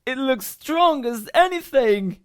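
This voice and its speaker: A woman voicing a group of excited young children in a very high, squealing voice. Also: slow. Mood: curious.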